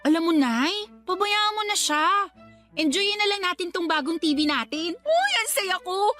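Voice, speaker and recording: A woman's voice: very high voice, big pitch swings, moderate pace, bright, forceful tone, full character voice. A woman playing a teasing young Filipina daughter in a radio drama, playful and sarcastic. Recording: light background music under dialogue.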